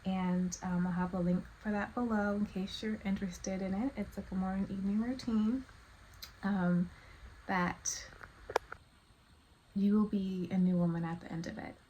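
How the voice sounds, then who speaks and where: A woman talks casually and confidingly to her viewers in a medium-pitched voice. Her storytelling is halting and rambling, with pauses as she finds her way. Clear background noise sits behind her. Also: fairly even intonation, dark tone, rough recording. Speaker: vlogger telling her personal story.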